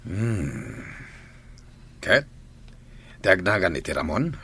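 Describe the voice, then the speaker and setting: A man playing a commanding gang boss in a radio drama, forceful and rough-voiced, with a Filipino accent. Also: sits low, very animated, slow, full character voice.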